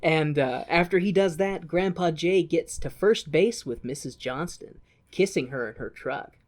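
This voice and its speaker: A woman's voice: low voice, a little slow, darkish tone. A woman co-hosting a podcast, recapping a film in a casual, comedic way with playful, wry asides. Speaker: podcast co-host. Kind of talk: casual comedic movie recap, partly reading from notes.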